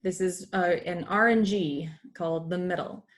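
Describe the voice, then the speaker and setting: A woman's voice: on the low side, fairly even intonation, normal speed. Woman reading a math lesson aloud. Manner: explanatory, acts out dialogue, breaks off for asides. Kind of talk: educational read-aloud with informal asides.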